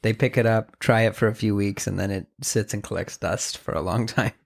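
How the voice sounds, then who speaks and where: A man's voice: fairly low pitch, fairly even intonation, a little fast, creaky. A man hosting a podcast interview, chatting in an upbeat, friendly way with his guest. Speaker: podcast host. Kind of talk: casual interview chat.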